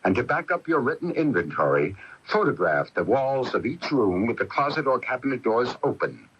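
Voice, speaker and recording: Husky guy doing a consumer tip spot, animated delivery, dull radio sound. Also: mid-range voice, moderate pace. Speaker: consumer advice announcer. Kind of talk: scripted public service announcement.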